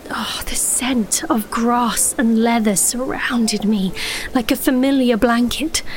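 An actress playing an earnest, romantic young sorceress princess, narrating a fantasy audio drama with expressive, breathy delivery. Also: female voice, fairly high pitch, slight background noise, poor audio. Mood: hushed, yearning.

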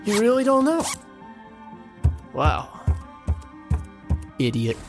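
A woman plays a stubborn young college rower with a chip on his shoulder in an audio drama. The delivery swings widely in pitch, with music underneath. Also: huge pitch swings, very slow, exaggerated voice. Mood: scornful. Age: young adult.

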